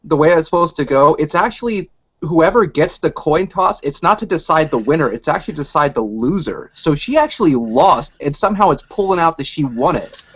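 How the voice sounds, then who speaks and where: A man's voice: a little high, animated, a little fast, a bit bright, breathy edge. Man bantering over lo-fi audio. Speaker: radio show co-host. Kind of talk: casual joking chat. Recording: dull lo-fi online call audio.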